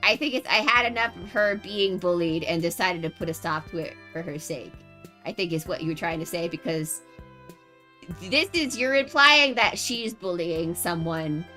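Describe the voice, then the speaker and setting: A woman livestreamer reading game narration aloud with casual, animated, jokey commentary. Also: fairly low pitch, moderate pace, faint music behind. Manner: animated, reactive, jokey exclamations.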